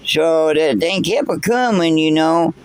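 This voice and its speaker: A drawling old backwoods codger, as a man puts him on. He talks slow and a touch high, meandering through his yarn and stumbling over the same words again and again. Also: smooth, lightly acted. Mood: yarn-spinning. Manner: rambling, folksy, stumbling over repeated words. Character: old backwoods man spinning a tall tale. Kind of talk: character storytelling.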